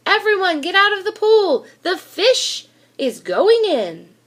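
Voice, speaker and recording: A woman voicing a brisk, commanding fireman in a storybook, exclaiming orders. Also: extremely high pitch, very animated, a bit bright, pitched above the performer's own voice, heavily acted. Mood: urgent commanding.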